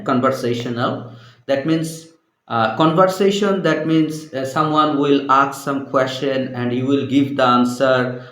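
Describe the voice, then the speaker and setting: Slow-talking Bangladeshi man, echoey room. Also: medium pitch. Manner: halting, many fillers. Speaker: English trainer. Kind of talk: unscripted conversation.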